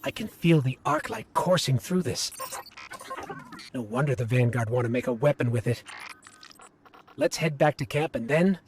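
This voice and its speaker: A man's voice: medium pitch, animated, moderate pace, long pauses, background noise, close to an everyday voice. A man voicing a small, caring, earnest robot companion who guides the player in a video game. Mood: eager, guiding. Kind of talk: video game dialogue and guiding narration. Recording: game music behind the voice.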